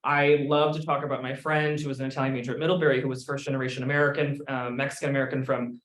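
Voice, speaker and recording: A man's voice: medium pitch, little pitch movement, a bit bright. A man who teaches Italian, talking earnestly in a roundtable and saying "you know" often. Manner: fast, earnest, uses "you know" a lot. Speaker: graduate Italian instructor. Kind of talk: roundtable discussion. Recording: echoey room.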